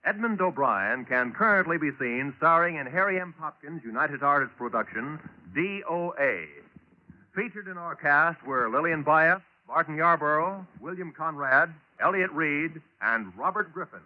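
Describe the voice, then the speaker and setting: A man reading credits and promos in a crisp, formal 1940s broadcast style, his voice a little high and swinging widely in pitch. The recording is muffled and old, with loud music playing under him. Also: lively intonation, moderate pace. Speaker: radio announcer.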